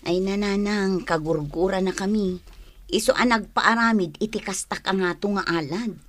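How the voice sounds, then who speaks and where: A woman's voice: mid-range voice, normal speed, fairly bright tone, close to an everyday voice. A woman playing an aggrieved wife and mother in a radio drama. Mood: hurt, resentful.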